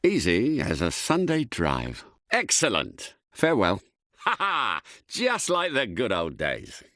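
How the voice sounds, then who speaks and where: A man voicing a suave, confident British spy car in a video game, polite and clipped, with theatrical gentlemanly delivery. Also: on the low side, wildly swooping pitch, takes it slowly, dry recording, studio quality, exaggerated voice. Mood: pleased. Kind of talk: scripted game character catchphrases.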